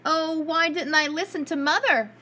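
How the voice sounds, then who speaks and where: A woman's voice: high voice, moderate pace, bright, forceful tone, a little hiss or hum, voice pushed up. A woman voicing a sweet, trusting little girl in a fairy tale, with an earnest, innocent delivery. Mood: regretful.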